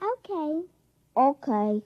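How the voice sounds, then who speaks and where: An eager little girl prodigy of about five, with a very high, lilting voice. She speaks guilelessly in a 1950s radio drama, recorded dry and close. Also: sing-song, takes it slowly, no echo, dull audio, full character voice. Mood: sheepish.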